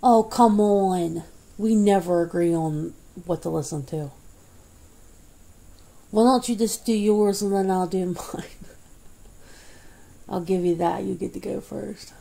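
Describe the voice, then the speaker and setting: Woman ASMR creator, casual one-sided home chat, rambling and laughing, husky mellow tone. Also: mid-range voice, slow, long pauses. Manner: rambling, chatty, laughs often, many fillers. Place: indoors at home.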